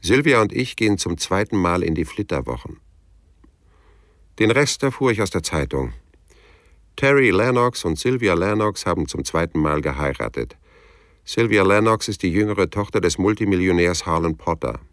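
A man's voice: low-pitched, lively intonation, normal speed, a bit bright, dry recording. An actor playing a laconic, world-weary private detective who narrates his story dryly in a noir radio drama. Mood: matter-of-fact. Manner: dry, laconic. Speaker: narrator and lead character.

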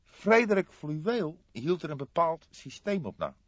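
A man voicing a scrawny, excitable café owner from the underworld in an audiobook, talking emphatic street slang. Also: on the high side, measured pace, no echo, pitched above the performer's own voice, heavily acted. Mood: confiding. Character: scrawny indignant small-time underworld café owner, male. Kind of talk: audiobook character acting. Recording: slightly dull, dry studio recording.

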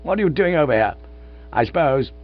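A man's voice: on the high side, expressive, fairly quick, voice pushed up. Man doing a hearty old stage veteran. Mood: cheerful. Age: older. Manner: hearty, cheerful, a bit blustering. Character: old gruff stage veteran turned boyish. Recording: dull lo-fi recording with mains hum.

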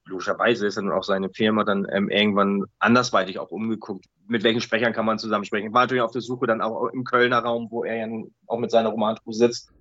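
Fast-talking man, chatty and slightly muffled. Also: fairly low pitch, dry recording. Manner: colloquial, rambling, animated storytelling with tag questions. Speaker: interview guest, audio drama writer. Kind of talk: casual interview chat.

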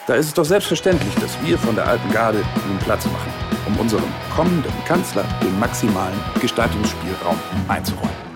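An actor playing a deferential old-guard party politician who talks in stiff official phrases. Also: male voice, lowish voice, big pitch swings, fairly quick, loud music behind the voice. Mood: resigned.

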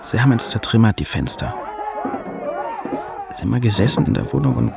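An actor playing an anxious butcher's son in a radio drama, testifying haltingly in with inverted word order. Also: male voice, medium pitch, sing-song, gravelly, loud music behind the voice. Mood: troubled recounting.

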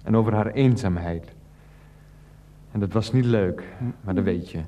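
An actor playing an earnest, clueless middle-aged husband in a radio play, confessing in a halting, rambling, sincere way. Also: male voice, fairly low pitch, mellow, close to an everyday voice. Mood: awkward, sincere.

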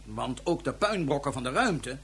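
An actor playing an imperious, excitable sovereign in a science fiction radio drama, with a husky, grandiose delivery. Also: male voice, mid-range voice, normal speed. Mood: commanding, gloating. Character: imperious male sovereign plotting space war, excitable.

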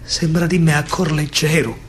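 A man's voice: on the high side, level tone, normal speed, slight background noise. A man with a Roman Italian accent performs a theatrical verse monologue as a stage storyteller, declaiming emphatically in rhythmic phrases.

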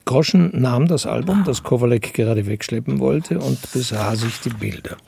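An actor playing a composed narrator of a crime story, reading scripted narration in a radio drama. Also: male voice, mid-range voice, normal speed, creaky, mellow, slightly rough, dry recording, exaggerated voice. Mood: measured, observant. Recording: music behind the voice.

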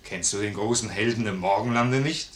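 An actor playing a hearty, swaggering, generous soldier who is eager for war, with boisterous, emphatic delivery in a classic radio play. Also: male voice, fairly low pitch, normal speed, echoey room, close to an everyday voice. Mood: boastful, eager.

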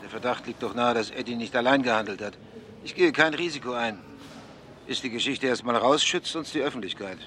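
An actor playing a wary, sardonic journalist in a German radio play, with a guarded, dry delivery. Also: male voice, medium pitch, fairly quick, sharp tone, natural voice. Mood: wary, defensive.

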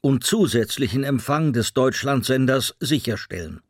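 Male reader for documentary quotations, medium pitch, dry studio. Formal, official delivery. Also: expressive, moderate pace, slightly rough, dry recording.

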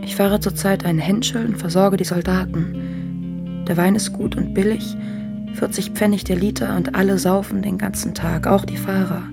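A woman's voice: medium pitch, a little mellow, natural voice. An actress playing a young woman who reads a letter aloud in a radio drama, plainly and intimately.

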